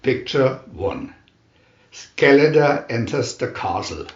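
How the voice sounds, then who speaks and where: A man reading a storybook aloud as dramatic narration, in a theatrical, deliberate manner with emphatic announcements. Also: mid-range voice, expressive, roomy sound, muffled recording. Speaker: reader of picture-reel story booklets.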